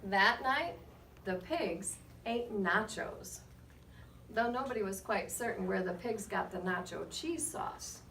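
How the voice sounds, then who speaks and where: A woman reading a picture book aloud to children as a guest storyteller, with expressive, measured delivery and short asides to her listeners. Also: medium pitch, gravelly. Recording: roomy, distant mic, faint background noise.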